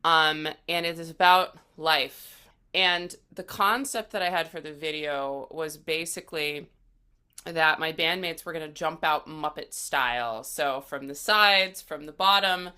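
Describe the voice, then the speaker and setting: Woman, low pitch, bright clear tone, gravelly fry, casual interview guest. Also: measured pace.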